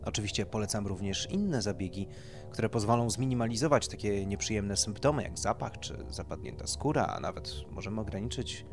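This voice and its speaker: A man's voice: lowish voice, fairly quick, over music, lightly acted. A man voicing a polite, tactful male doctor who explains procedures clinically in an audiobook. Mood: salesman-like. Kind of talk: audiobook character dialogue.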